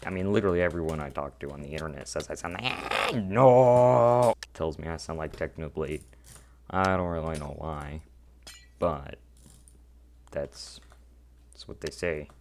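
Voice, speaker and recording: A teenage boy with a deep voice, rambling awkwardly and putting himself down while commenting on his own gameplay. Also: unhurried, pauses often, a little mellow, a little hiss or hum. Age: teens. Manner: rambling, self-deprecating, awkward. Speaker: gaming channel host.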